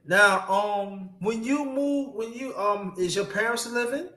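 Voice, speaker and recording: A man in his fifties from New York hosts a loose live-stream talk. His voice sits a little high for a man, and he is outgoing and quick to shout out people in the chat. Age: 50s. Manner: outgoing, gives loud shout-outs. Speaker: livestream host.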